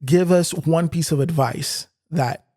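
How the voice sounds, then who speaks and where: A laid-back Canadian man co-hosting a podcast, with a voice pitched a little high for a man. He talks in casual slang and prompts his partner. The sound is close-miked and dry, in a quiet room. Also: unhurried, no echo. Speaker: podcast co-host. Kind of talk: casual conversation.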